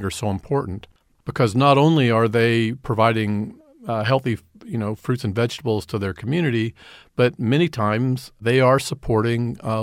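A middle-aged man speaks earnestly as a radio interview guest, stopping to repeat and restart his words. His voice has a gravelly edge at a mid pitch. The sound is dry and close, with no room around it. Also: no echo. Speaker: community development director, guest.

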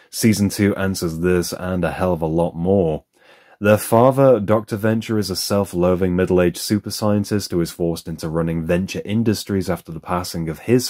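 Low-voiced man reviewing a box set. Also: warm tone. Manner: candid, opinionated, conversational. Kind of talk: unboxing review voice-over.

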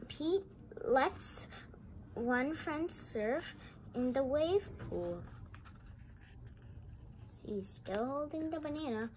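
High female voice, picture-book read-aloud, very slow halting delivery, chatty asides. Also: expressive, darkish tone. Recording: noisy amateur home recording.

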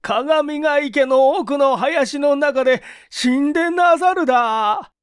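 Panicked rustic farmhand, high and drawn-out. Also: male voice, no room sound, studio quality. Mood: panicked shouting. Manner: drawn-out shouting in rustic dialect. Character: panicked rustic farmhand reporting a death to his master. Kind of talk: audiobook character voice.

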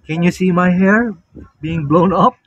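A man's voice: high-pitched, a little slow, no room sound, muffled recording. A man in his thirties with a Filipino accent, giving a casual, playful product review for a vlog into a phone camera. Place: open-air beach hut at night, later inside a tent.

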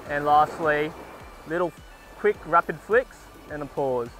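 A young man narrating his beach fishing vlog in a steady, instructional way, recorded outdoors on an action camera held at arm's length. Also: a little high, measured pace, low-quality recording. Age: young adult. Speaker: fishing vlogger. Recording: music playing under the voice. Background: music.

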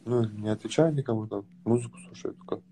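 A man in his twenties with a slight Dagestani accent, chatting casually with callers on a phone livestream from a sofa at home, relaxed and teasing. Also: fairly low pitch, fast talker, a little hiss or hum. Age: 20s. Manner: relaxed, teasing, mumbles at times. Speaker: livestream host. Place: small room at home, on a sofa, indoors. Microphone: phone front camera held close.

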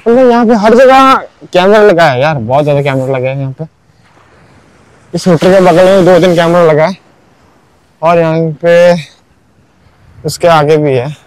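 A man's voice: a little high, unhurried, pauses often, dry recording, rough recording. A young man narrating a casual walking tour of a beach at sunrise, chatty, with lots of filler words. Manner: chatty, keeps saying "bhai" and "matlab". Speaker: vlogger.

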